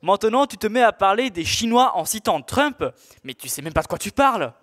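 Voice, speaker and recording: Casting: young male player for colloquial, exasperated sidekick squire, slightly high voice, student radio fiction. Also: big pitch swings, fairly quick, fairly bright tone. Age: teenager. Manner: colloquial, hesitant, down-to-earth. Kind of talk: amateur student audio drama.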